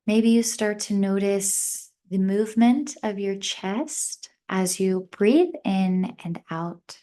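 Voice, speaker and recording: A woman meditation instructor speaks at a very slow pace in a mid-range voice. She guides listeners gently, giving short, measured instructions and leaving long pauses between phrases. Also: medium pitch.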